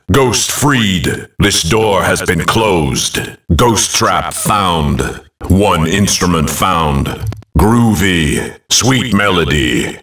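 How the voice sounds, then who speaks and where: A booming male announcer for a fantasy video game, very low in pitch and extremely slow and weighty. Each short callout lands with dramatic, grandiose emphasis. The voice rings in a roomy space. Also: fairly bright tone, far from the mic, full character voice. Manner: emphatic, clipped, dramatic delivery.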